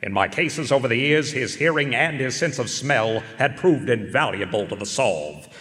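An actor playing a weary, wry private eye in a noir radio drama, narrating and wisecracking in a deadpan, world-weary way. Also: male voice, mid-range voice, moderate pace, natural voice. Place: large performance hall stage. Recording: echoey concert hall, live stage broadcast.

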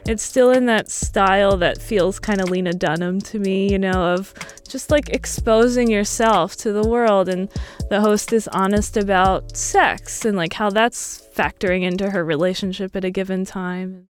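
A woman's voice: mid-range voice, fairly even intonation, moderate pace. A woman talking casually and thoughtfully, giving commentary with plenty of "you know" and "like". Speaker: podcast producer and guest commentator.

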